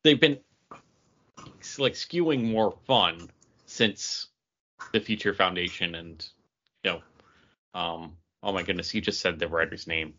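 A man co-hosts a comics podcast in relaxed conversational style. His voice sits low yet has a bright, forceful edge, and the recording is slightly band-limited. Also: measured pace, pauses often. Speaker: podcast co-host reviewing comic books. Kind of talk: casual chat. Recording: dull, band-limited audio.

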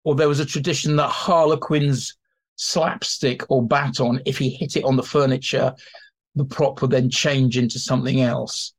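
A man's voice: mid-range voice, steady tone, normal speed. A man speaking as an expert guest in an interview, explaining theatre history in a colloquial, anecdotal way. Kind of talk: informal explanatory interview talk.

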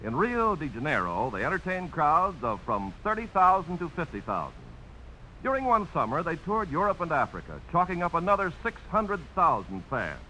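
Faint hiss sits behind a 1950s radio announcer. The man has a bright, forceful voice with lively swings, reading his script earnestly and with polish. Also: a little high, moderate pace, low-quality recording. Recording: faintly hissy old radio broadcast.

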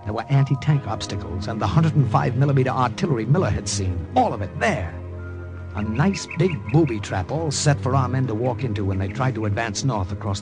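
An actor narrating as an American spy in first person, in 1940s radio drama style. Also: male voice, medium pitch. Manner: tense first-person storytelling.

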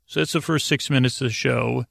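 Guy rambling on a sleep podcast, kinda monotone, keeps losing his thread, really dry close sound. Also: gravelly, no room sound. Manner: meandering, halting, frequently digressing and losing his thread; low-key, soothing, deliberately unengaging. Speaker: podcast host.

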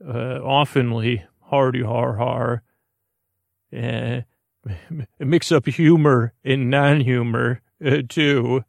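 A man hosting a bedtime podcast in a gentle, meandering monologue, self-deprecating and often losing his thread, with a gravelly voice. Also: medium pitch, fairly even intonation, very slow, dry recording. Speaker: host of a bedtime sleep podcast.

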